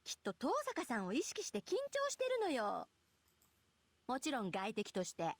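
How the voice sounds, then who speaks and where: Excitable, high, rapid big-sister type. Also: female voice, no echo, heavily acted. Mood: indignant, defensive. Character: excitable, protective big-sister type young woman, childish temper. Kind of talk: Japanese visual novel voice acting. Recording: game music faintly behind.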